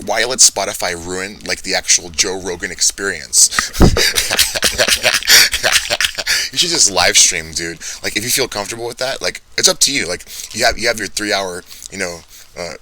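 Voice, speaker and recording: A young man with a deep baritone voice rambling through a casual monologue, swearing often and laughing at his own jokes. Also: sharp tone, poor audio. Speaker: vlogger. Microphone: handheld or phone mic, speaking softly.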